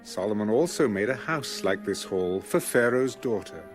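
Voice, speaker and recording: A man narrating scripture from a script in a measured, solemn manner. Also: fairly low pitch, lively intonation, faint music behind. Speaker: Bible narrator.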